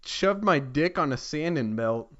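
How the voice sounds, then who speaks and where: Slow-talking, higher-pitched man joking crudely. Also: lively intonation, a bit bright, dull audio. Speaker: podcast co-host. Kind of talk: crude comic casual banter.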